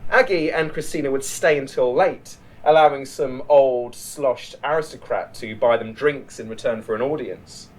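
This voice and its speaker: A man narrating a written short story in a measured, literary read-aloud delivery. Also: medium pitch, poor audio. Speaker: writer reading a short story.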